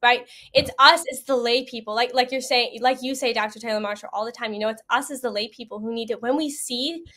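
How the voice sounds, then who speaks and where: A woman's voice: on the high side, brisk, fairly bright tone. A young adult woman speaking casually and earnestly as an interview guest.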